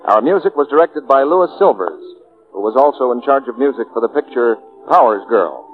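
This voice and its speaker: A man's voice: medium pitch, expressive, a little fast, soft background music. An American man reading scripted announcements in a formal 1940s radio style. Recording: tinny 1940s radio broadcast.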